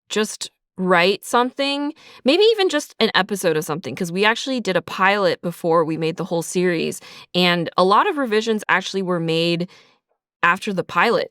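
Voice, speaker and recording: A woman's voice: fairly low pitch, a bit bright, clean tone, dry recording, studio quality. A woman speaking as an interview guest, explaining her creative writing work in a casual, conversational way. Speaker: audio drama writer and creator, interview guest.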